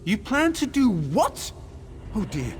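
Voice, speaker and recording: An actor playing a fussy, curious and anxious scholarly tinkerer in a video game, speaking in a formal, exclamatory way. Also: male voice, sits high, huge pitch swings, slow pace, slight background noise, full character voice. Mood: alarmed, resigned. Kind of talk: video game character dialogue.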